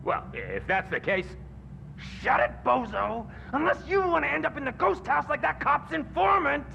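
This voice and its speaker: An actor playing a belligerent, whiny drug dealer in a video game, with a shrill, creaky voice. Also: male voice, big pitch swings, moderate pace, pushed and bright, dull audio, a little hiss or hum, heavily acted. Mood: hostile. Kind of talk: video game cutscene acting.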